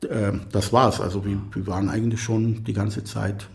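A middle-aged man from Israel whose native language is Arabic, speaking as a podcast guest in a reflective, halting way as he searches for words. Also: on the low side, level tone, creaky. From Israel, near Tel Aviv. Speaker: interview guest, composer.

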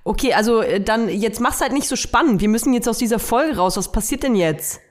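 A young woman speaks with a lively pitch that moves up and down a lot. As a podcast co-host, she chats casually and teases her friend in slangy everyday language. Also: brisk. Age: young adult. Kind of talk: casual chat between friends.

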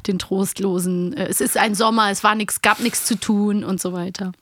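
A woman's voice: mid-range voice, fairly even intonation, moderate pace, fairly bright tone, dry recording, studio quality. A woman co-hosting a podcast in casual chat, with a playful, teasing manner. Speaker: podcast co-host.

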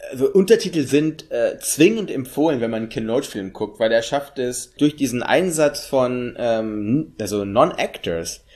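A man's voice: mid-range voice, expressive, normal speed, few pauses, a little mellow. A man in his thirties, a native German speaker, co-hosting a casual film podcast, talking in a chatty way with many fillers and tag questions. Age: 30s. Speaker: podcast co-host. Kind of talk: casual film review chat.

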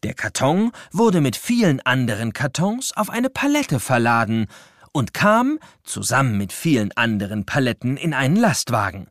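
A man's voice: mid-range voice, very animated, moderate pace, a bit bright. A man narrating a scripted children's story with a playful, dramatic storytelling manner.

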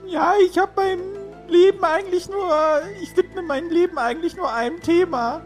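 Squeaky, whiny hapless young son. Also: male voice, fairly even intonation, normal speed. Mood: self-pitying. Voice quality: squeaky falsetto. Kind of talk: improvised comedy radio play. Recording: music behind the voice.

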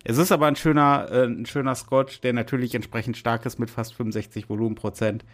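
A man's voice: mid-range voice, fairly even intonation, fast talker. A man chatting casually as co-host of a podcast.

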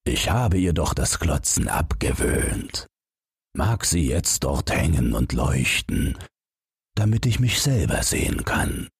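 A naive storybook snowman, voiced by a male reader, low and very husky. He talks slowly and musingly, full of stubborn self-importance. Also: huge pitch swings, no room sound, studio quality, exaggerated voice. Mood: wistful, musing. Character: naive newborn snowman, proud, puzzled and stubborn.

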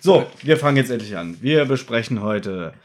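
A man's voice: mid-range voice, lively intonation, normal speed, darkish tone. A man co-hosting a casual podcast chat about audio dramas, with a teasing, needling manner. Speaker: podcast co-host.

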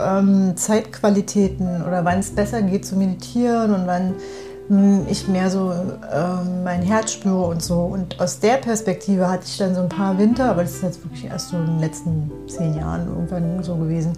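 A woman's voice: mid-range voice, steady tone, moderate pace, over music. A woman in a casual, reflective chat, speaking thoughtfully and hesitantly as she searches for words.

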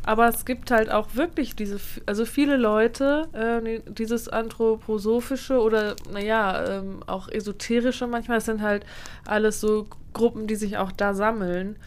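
A woman's voice: fairly high pitch, fairly even intonation, moderate pace. A woman co-hosting a podcast in a casual, conversational chat. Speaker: podcast co-host.

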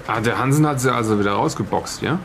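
A man's voice: medium pitch, quick pace, creaky, lightly acted. A man plays a suspicious officer over sailors in an audio drama, in a mid-range voice. He rambles and stammers, officious but flustered, often ending on a questioning tag. Some noise runs behind. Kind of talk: improvised in-character audio drama dialogue.

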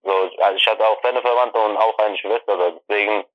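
A low-voiced man who grew up in Iran talks haltingly and keeps correcting himself mid-sentence. He comes through a muffled, dry video-call line. The sound is tight and close, with no room around it. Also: fairly even intonation, dry recording. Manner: halting, restarts and self-corrections. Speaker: interview guest. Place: family apartment.

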